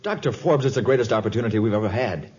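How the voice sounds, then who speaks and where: An actor playing an eager, stubborn young scientist, earnest and emphatic, in a 1950s radio drama. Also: male voice, lowish voice, rapid-fire, darkish tone, husky. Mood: excited. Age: young adult. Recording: dull lo-fi 1950s radio recording.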